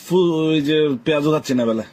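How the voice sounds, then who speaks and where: A man's voice: fairly high pitch, a little fast, darkish tone. A young man, playing a boyfriend on a comic phone call who teases and brushes off his girlfriend. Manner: teasing, offhand, deflecting.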